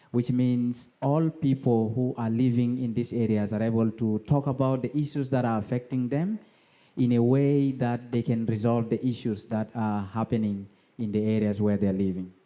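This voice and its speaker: East African man with a very dark, mellow voice. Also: mid-range voice, steady tone, a little slow. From South Sudan. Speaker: conference speaker, NGO co-founder. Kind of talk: prepared presentation.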